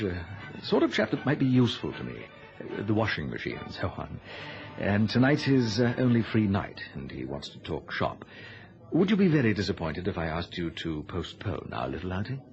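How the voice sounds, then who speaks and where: A man's voice: low voice, wildly swooping pitch, normal speed, faint music behind, full character voice. An actor playing a shifty, flirtatious businessman in a radio play, hesitant and wheedling as he makes excuses. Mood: sheepish. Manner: hesitant, wheedling, with throat-clearing. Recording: dull old radio recording.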